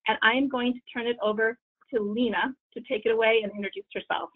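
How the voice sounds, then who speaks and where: A woman's voice: a little high, fairly even intonation, fast talker. A woman hosting an online panel, chatty and informal as she explains ways to volunteer. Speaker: host. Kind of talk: webinar moderation. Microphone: video call. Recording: tinny phone-like audio.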